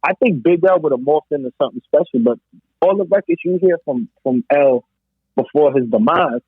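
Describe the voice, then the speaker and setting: Male podcast host, slightly high, lively and husky, emphatic casual debater repeating himself for effect, heard over a narrow phone line. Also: a little fast, dry recording. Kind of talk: casual hip-hop debate.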